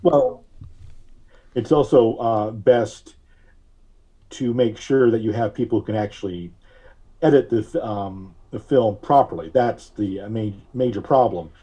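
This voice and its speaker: A man being interviewed as a filmmaker, telling stories in an explanatory, conversational way with drawn-out pauses. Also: on the low side, dark tone, husky. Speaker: guest, filmmaker.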